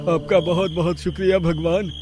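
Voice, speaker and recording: A man's voice: sits high, level tone, moderate pace, music in the background, close to an everyday voice. A man playing a humble middle-aged father, anxious and pleading, in a dramatic story. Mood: tearful pleading. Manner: emotional, pleading. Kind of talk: dramatic Hindi animated story acting.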